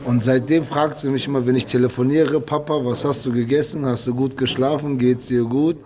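A man's voice: mid-range voice, fairly even intonation, brisk, a little mellow, slight background noise. A man in his thirties, an inmate talking informally in an interview. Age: 30s. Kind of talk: plain informal interview talk.

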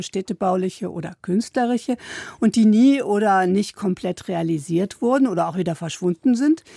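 A woman's voice: mid-range voice, creaky, warm tone, no echo. A woman working as a culture reporter, explaining things in a conversational radio report.

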